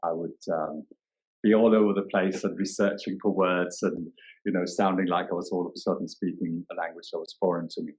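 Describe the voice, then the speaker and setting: A man in his forties with a very deep, low voice. He talks in a reflective podcast interview, pausing and reworking his sentences as he searches for words. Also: fairly even intonation, darkish tone, poor audio. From Denmark. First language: Danish. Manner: thoughtful, searching for words, many restarts. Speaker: yoga teacher and guest.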